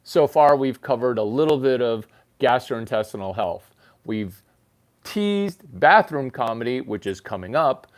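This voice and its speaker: A man hosting his own channel, rambling through a crude comedy monologue in a mock-lecture manner with sudden jumps in pitch for emphasis. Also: a little slow. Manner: rambling, deadpan lecture-style bathroom humor. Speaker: channel host.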